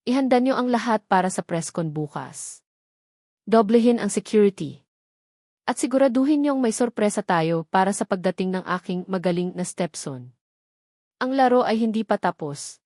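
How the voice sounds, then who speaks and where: A woman narrator plays a scheming wealthy matriarch from a storybook drama. She is cold, arrogant and commanding, speaking at a middle pitch in a dry, close sound. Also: expressive, normal speed, darkish tone, no room sound, lightly acted. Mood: cold, menacing. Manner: commanding, haughty.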